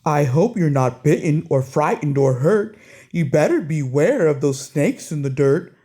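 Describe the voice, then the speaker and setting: A woman voicing a concerned, kindly father in a children's storybook, cautioning in a slow, fatherly way. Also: deep voice, expressive, warm tone, pitched below the performer's own voice. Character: concerned, kindly dad warning his daughter.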